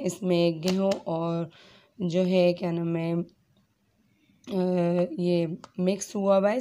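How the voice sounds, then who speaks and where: A woman with a hoarse, sore throat, chatting straight to viewers as she hosts a casual home cooking tutorial, filmed on a phone in her kitchen. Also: on the low side, mellow. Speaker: cooking channel host.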